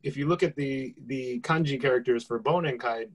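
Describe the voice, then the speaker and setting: A man's voice: medium pitch, normal speed, low-quality recording. A man, moderating a casual panel and prompting guests with questions. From United States. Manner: friendly, prompting, with some restarts.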